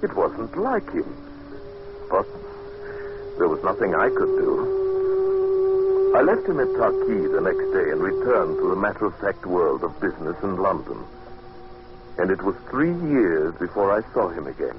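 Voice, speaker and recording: A man's voice: sits low, very animated, normal speed, pauses often, over loud music. An actor playing an earnest English businessman who narrates an eerie tale in an articulate, theatrical old radio drama style. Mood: awed, uneasy. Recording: dull noisy old radio recording.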